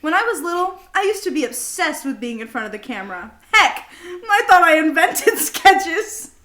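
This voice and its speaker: A young woman with a high voice chats casually as a podcast co-host. She is goofy and giggly, happy to poke fun at herself. The sound is roomy and echoey. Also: very animated, normal speed, sharp tone. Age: young adult. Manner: giggly, goofy, self-mocking. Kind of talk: casual chat between best friends, with bits of journal reading.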